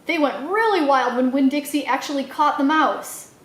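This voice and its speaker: A woman reading a children's novel aloud in an expressive storytelling style, acting out the dialogue as she goes. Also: high-pitched, moderate pace, reverberant. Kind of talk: animated read-aloud narration for children.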